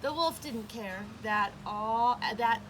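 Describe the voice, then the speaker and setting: A woman reading a children's picture book aloud as a storyteller, with expressive, emphatic narration. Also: a little high, takes it slowly, noisy background.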